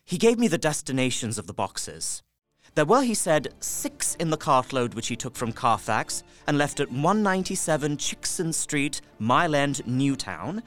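A young Victorian husband, played by an actor, recounts his journal in a mid-pitched, animated voice. He is earnest, methodical and a little weary. Faint music sits behind the audio drama monologue. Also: natural voice.

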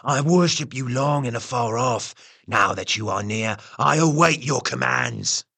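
Asylum madman, gothic audio drama, harsh forceful tone, husky rasp, fanatically devoted, servile. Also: medium pitch, expressive, a little slow, heavily acted. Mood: fervent worship. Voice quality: raspy, gravelly. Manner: fervent, servile.

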